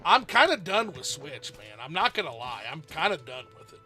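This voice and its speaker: A man's voice: fairly high pitch, sing-song, moderate pace, pushed and bright, faint music behind. A man hosting a casual game livestream, rambling as he reads out viewer chat and answers it. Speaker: streamer host.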